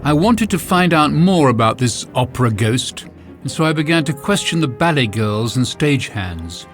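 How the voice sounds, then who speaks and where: A man's voice: medium pitch, big pitch swings, moderate pace. Suspenseful male audiobook narrator over music. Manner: suspenseful, theatrical. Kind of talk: dramatic first-person mystery narration. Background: music score.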